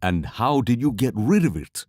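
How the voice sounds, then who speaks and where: A man's voice: medium pitch, sing-song, moderate pace, darkish tone, no echo, studio quality. A man playing an anxious, skeptical adventurer in an audio drama, speaking in a hesitant, fretful way. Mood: worried.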